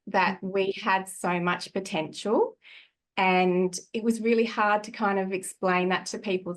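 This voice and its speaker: An Australian woman in her forties, speaking at a medium pitch, talks over her childhood in an unhurried, chatty way. She often pauses on fillers and laughs softly between thoughts, which keeps the delivery gentle and reflective. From Australia (country New South Wales). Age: 40s. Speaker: guest, energy healer. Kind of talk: casual conversational storytelling.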